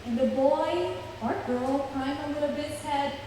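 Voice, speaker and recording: A woman from Brazil with a Brazilian Portuguese accent, giving an earnest personal testimony with frequent pauses. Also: sits high, dark tone, slight background noise. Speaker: church plant speaker. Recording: echoey hall, distant mic.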